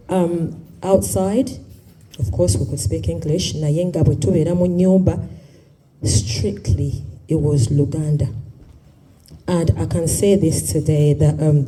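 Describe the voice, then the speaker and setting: A woman's voice: low-pitched, dark tone, rough recording. A woman speaking on a panel, switching between English and Luganda as she describes her upbringing. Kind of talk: panel discussion. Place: community hall.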